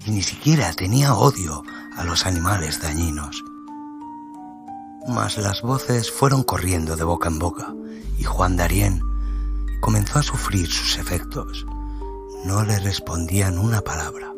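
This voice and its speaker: Narrador de voz muy grave. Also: male voice, low voice, animated, unhurried, gravelly. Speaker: storyteller, narrator. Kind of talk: dramatic scripted story narration. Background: loud music bed.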